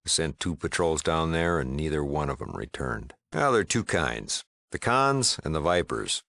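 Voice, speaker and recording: An American actor voicing a gruff, wry frontier town leader in a video game, laconic and plain-spoken with a gravelly voice. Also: male voice, sing-song, normal speed, a bit bright, no room sound, full character voice. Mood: matter-of-fact. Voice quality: deep gravelly voice. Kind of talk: video game character dialogue.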